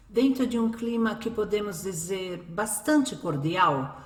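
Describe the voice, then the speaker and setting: A woman narrating a scripted radio drama as a storyteller. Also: mid-range voice. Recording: echoey room.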